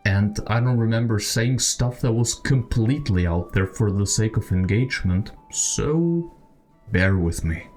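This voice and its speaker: A man's voice: mid-range voice, a little mellow. A man hosting a video essay about games, giving opinionated, speculative commentary in a conversational way. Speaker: video creator, channel host. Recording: music behind the voice. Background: background music.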